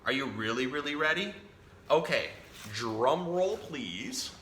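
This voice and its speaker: A man hosting a children's storytime, reading a picture book aloud in a playful, expressive way and talking directly to young listeners. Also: a little high, takes it slowly. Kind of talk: animated read-aloud of a children's picture book with chatty asides to a pet parrot. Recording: roomy echo, faint background noise.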